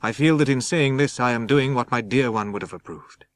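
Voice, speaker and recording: A man voicing a grieving, courteous young gentleman in a dramatic audiobook, hushed and husky. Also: medium pitch, fairly quick, lightly acted. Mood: sad courtesy. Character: grieving, broken young gentleman, polite and sorrowful.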